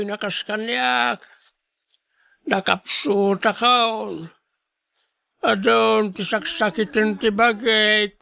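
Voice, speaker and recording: A man playing a wheedling, stubborn elderly beggar in a radio drama, pleading with drawn-out cries. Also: high voice, vocal fry, sharp tone, clear, resonant tone, no echo, exaggerated voice. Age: older. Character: wheedling, stubborn elderly husband begging on the street. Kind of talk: Ilocano radio drama acting.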